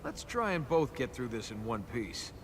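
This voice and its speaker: An actor playing a young, sarcastic adventurer prince, a flippant and reluctant hero in a video game. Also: male voice, medium pitch, very animated, normal speed, noisy background, natural voice. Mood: wry. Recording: music behind the voice. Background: game music.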